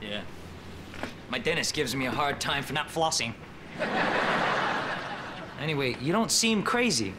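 Slow-talking, easygoing deadpan man. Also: mid-range voice. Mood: awkward, deadpan. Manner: casual, hesitant, deadpan. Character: awkward, easygoing man flirting with a homeless woman. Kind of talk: sitcom acting. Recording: loud background noise, ambience.

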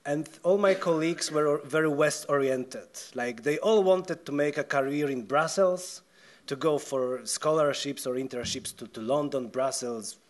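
A man with a Polish accent talks on a theatre stage into a table mic, with a fairly high voice for a man. He stumbles and restarts often and gestures as he goes. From Poland. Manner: halting, many restarts and stammered words, gestures while talking. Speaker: guest journalist. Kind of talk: onstage festival conversation. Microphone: table mic on a stand.

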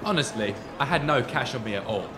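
A man's voice: mid-range voice, animated, brisk, natural voice. An actor playing a boastful young male street thug with a slangy, swaggering way of talking in an audio drama. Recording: echoey room with clear noise.

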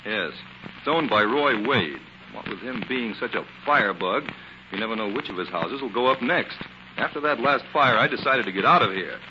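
A man's voice: mid-range voice, animated, moderate pace, close to an everyday voice. A man with an American accent playing a talkative antiques dealer in a 1940s radio drama. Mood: chatty, concerned. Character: talkative, civic-minded male antiques dealer. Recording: muffled, noisy 1940s radio recording.

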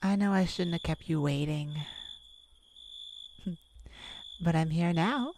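A woman voicing a playful, flirtatious immortal vampire in an intimate ASMR roleplay, teasing and dramatic with lingering pauses. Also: lowish voice, darkish tone, slight background noise, rough recording. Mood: gently reflective.

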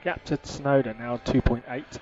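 A man working as a race engineer, giving calm, clipped, repeated instructions over a team radio. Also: mid-range voice, moderate pace. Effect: team radio filter. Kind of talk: terse, instructional radio messages. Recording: muffled, noisy motor-racing team radio.

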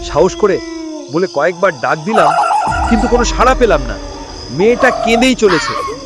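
A man telling a first-person ghost story as narration for a cartoon, in a lively storytelling manner. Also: high voice, moderate pace. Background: loud music with crickets chirping.

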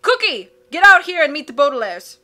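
A woman voicing a loud, hasty male submarine captain who cries out his lines and rambles, reading a storybook aloud. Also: high voice, big pitch swings, brisk, pitched above the performer's own voice, exaggerated voice. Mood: hearty bluster. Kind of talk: read-aloud storybook acting.

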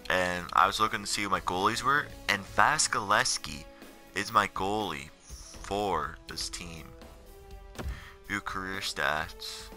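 Very deep-voiced teenage guy, tired, bright edge. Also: takes it slowly. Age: late teens, seventeen. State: tired, up in the middle of the night. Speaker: video gamer narrating his career mode. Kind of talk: casual gameplay commentary, rambling. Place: voice-over on game menu footage. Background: music.

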